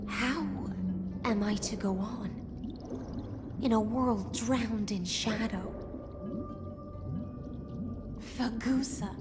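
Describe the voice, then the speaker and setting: A woman's voice: medium pitch, natural voice. A woman playing a lonely, dutiful sea siren in human form, speaking a solemn, mournful game monologue. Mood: lost, despairing. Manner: slow, mournful, poetic delivery. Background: orchestral game music.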